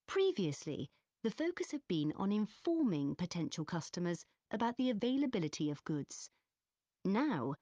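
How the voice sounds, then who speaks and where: A woman reads a scripted academic lecture in a fairly low voice with very lively intonation. Her delivery is measured and clearly articulated, and the sound is dry and close. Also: no echo. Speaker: lecturer in a listening test recording.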